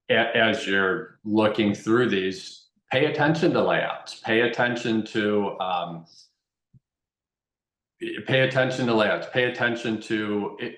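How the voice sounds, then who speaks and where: A man's voice: fairly low pitch, animated, measured pace, a bit bright. A man explaining things informally as a guest expert, repeating phrases for emphasis. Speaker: guest real estate investment expert. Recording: roomy, echoey sound.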